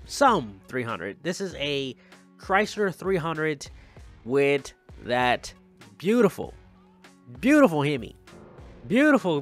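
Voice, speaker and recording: A man's voice: on the high side, a bit bright. Enthusiastic man hosting over music. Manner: enthusiastic, emphatic repetition, conversational. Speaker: gaming video host. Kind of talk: casual car showcase commentary over gameplay.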